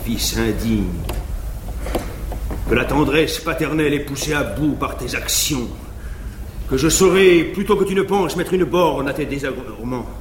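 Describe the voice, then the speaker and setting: A man's voice: medium pitch, a little slow, natural voice. An actor playing a stern old nobleman father in a solemn, declamatory classical French stage style. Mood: indignant, reproachful. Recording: echoey 1950s live theatre recording, noisy.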